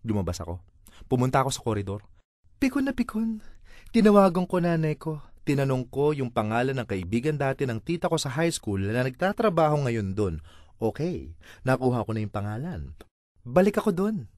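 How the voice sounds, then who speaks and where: A Filipino-accented man performs a comic first-person monologue as a dramatized story. His mid-pitched voice swings extremely widely in a theatrical, exasperated delivery, recorded close and dry. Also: big pitch swings, brisk, darkish tone, no room sound. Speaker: voice-over reader of a comic monologue.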